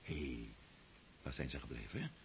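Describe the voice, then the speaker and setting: A man's voice: very deep voice, expressive, moderate pace, vocal fry, slight background noise, heavily acted. An actor in a Dutch radio drama playing a jovial, scheming aristocratic father with an effusive, repetitive way of talking. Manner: effusive, repeats himself.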